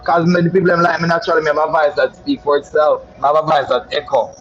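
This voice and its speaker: A man's voice: a little high, flat delivery, quick pace, a bit bright, husky, lo-fi sound. A Jamaican man with a boastful, flamboyant manner, telling a story in casual patois chat and calling listeners "my love". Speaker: social media personality.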